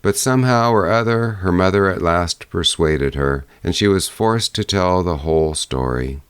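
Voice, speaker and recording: A man narrating a fairy-tale audiobook in a measured storytelling manner. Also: low voice, clean tone, dry recording, studio quality.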